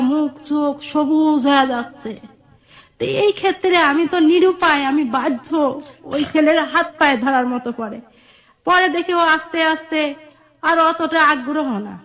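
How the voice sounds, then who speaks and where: Middle-aged woman, Bangladeshi accent, high voice. Earnest personal storyteller in interview, lo-fi sound. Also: fairly bright tone. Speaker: guest telling her life story. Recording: dull, muffled lo-fi audio.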